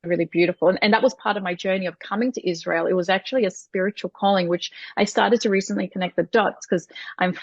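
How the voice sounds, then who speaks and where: A woman's voice: medium pitch, steady tone, quick pace, hardly any pauses, no room sound. Aussie woman in her 40s, lots of ums and little laughs, dull dry call audio. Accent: Australian. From Australia. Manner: hesitant, with many fillers and soft laughs. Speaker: podcast guest, coach. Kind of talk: casual interview answers.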